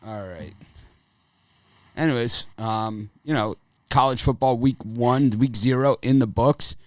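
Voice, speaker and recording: A man with a raspy voice hosting a sports betting show, talking casually in a rambling, opinionated way with lots of fillers. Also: medium pitch, a little slow, no echo.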